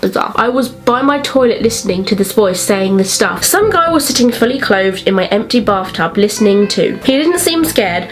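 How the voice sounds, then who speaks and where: A woman's voice: mid-range voice, lively intonation, normal speed, hardly any pauses, soft background music. A young woman with an English accent chatting informally in a vlog and reading aloud from old diaries in a self-mocking way. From England. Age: young adult. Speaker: vlogger.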